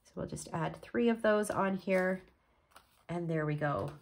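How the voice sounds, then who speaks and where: A woman's voice: lowish voice, a little slow. A woman from Canada explaining a card-making project step by step while she works, a little stuffed up from a cold. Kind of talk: crafting tutorial.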